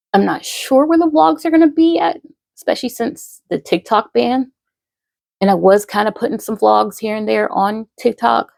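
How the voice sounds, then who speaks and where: A woman in her thirties, a poet and podcaster, talking casually to her followers in a slow, rambling way full of fillers and restarts. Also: medium pitch, creaky, a little mellow, dry recording, clean studio sound. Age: 30s.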